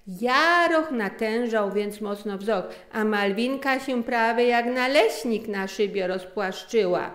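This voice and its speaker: A middle-aged woman narrating a scripted story in an unhurried, expressive manner. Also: on the high side. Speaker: author and narrator. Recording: roomy, echoing sound.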